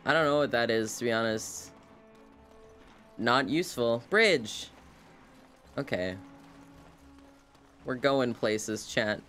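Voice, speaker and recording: A man's voice: medium pitch, lively intonation, slow pace, pauses often, bright, forceful tone, faint music behind. A man streaming a game with casual live commentary, full of playful sing-song asides.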